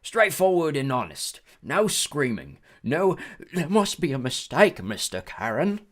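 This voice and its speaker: Slow, sly British-accented ferryman of the dead. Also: fairly high pitch, wildly swooping pitch, fairly bright tone, voice pushed up, heavily acted. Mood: dry, mocking. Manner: drawn-out, condescending, mock-sweet menace. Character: tall elegant ferryman of the dead, sly, coldly greedy.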